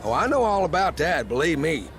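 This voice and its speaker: An actor playing a conversational, opinionated wrestling news host, presenting scripted sports segments. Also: male voice, a little high, very animated, a little fast, a bit bright, slight background noise. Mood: informative.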